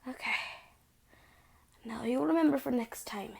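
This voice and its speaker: A young woman performs a fragile girlfriend with asthma in an audio roleplay, her voice pitched high and touched with a gravelly fry. She speaks slowly and softly, often half-whispering, in a pleading way. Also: heavily acted. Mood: gentle reassuring. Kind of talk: audio roleplay, spoken to the listener.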